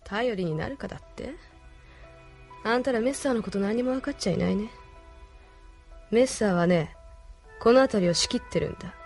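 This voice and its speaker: Rough-spoken young female punk played by a woman, medium pitch, sneering, anime audio drama, faint music. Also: mellow, lightly acted. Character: scornful, tough-talking young female street punk, loyal.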